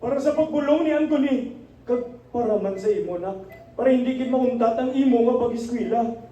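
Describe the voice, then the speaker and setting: Earnest, gentle Filipino father for radio drama, played by a man; mellow tone, distant noisy mic. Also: extremely high pitch, fairly quick, muffled recording. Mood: earnest, pleading. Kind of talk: amateur radio drama acting. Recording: roomy, noisy, distant microphone.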